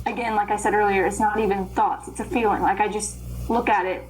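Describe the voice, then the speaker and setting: A young woman, an interpreter, chatting in a casual interview, rambling and animated as she explains herself. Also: mid-range voice, brisk, a little hiss or hum, poor audio. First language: English. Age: young adult. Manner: rambling, animated, trails off into laughs. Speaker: guest, Korean interpreter.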